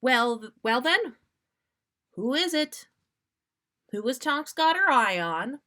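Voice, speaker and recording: A woman's voice: high-pitched, sing-song, takes it slowly, sharp tone, voice pushed up, exaggerated voice. A woman voicing a cocky, joking, self-centered man from a storybook in an exaggerated, playful, blustering manner. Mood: smug, curious.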